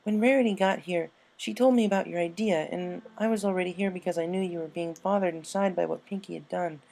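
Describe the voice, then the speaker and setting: A thoughtful young mare played by a woman reading a story, supportive and earnest. The voice is raised in pitch and reassuring, with articulate phrasing. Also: fairly even intonation, fairly quick, creaky, darkish tone, poor audio. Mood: explanatory. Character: thoughtful, supportive young female pony friend.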